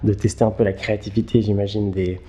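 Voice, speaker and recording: A man, giving halting, conversational interview answers and repeating words as he thinks. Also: fairly low pitch, little pitch movement, dark tone. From Geneva, Switzerland. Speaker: interview guest, filmmaker.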